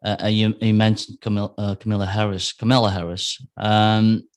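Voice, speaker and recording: Male co-host, lowish voice, hesitant and halting. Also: level tone, clean tone. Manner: hesitant, many fillers and restarts. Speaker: interview host. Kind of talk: conversational interview questions.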